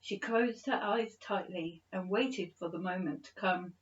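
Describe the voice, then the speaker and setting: A woman's voice: lowish voice, measured pace. Woman narrating a bedtime story, slightly muffled. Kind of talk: expressive scripted children's story narration.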